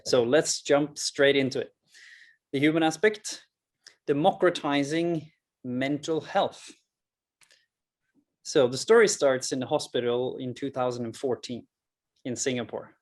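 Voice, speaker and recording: A man in his thirties from rural Norway, speaking English with a Norwegian accent as he gives a personal, earnest presentation with deliberate, emphatic pauses. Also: mid-range voice. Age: 30s. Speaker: public speaker, mental health project founder.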